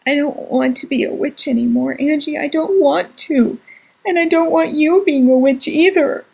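A woman voicing an earnest, timid young boy who speaks quietly and slowly to his older sister. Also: medium pitch, expressive, gravelly, husky, full character voice, low-quality recording. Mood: tearful.

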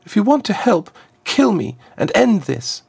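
A man voicing a young woman locked inside a brass frame, desperate and strained, with a dull raspy edge. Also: high voice, big pitch swings, measured pace, pitched above the performer's own voice, exaggerated voice.

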